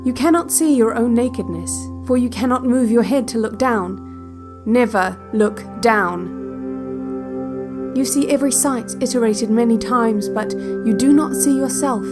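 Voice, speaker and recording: A woman playing the calm narrator of a relaxation cassette in an audio drama, giving measured, deliberate guided visualization over music. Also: a little high, close to an everyday voice. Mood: calm, hypnotic. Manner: measured, deliberate, instructional, with short spaced sentences. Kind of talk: slow guided visualization, scripted second-person narration. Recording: music bed under the voice.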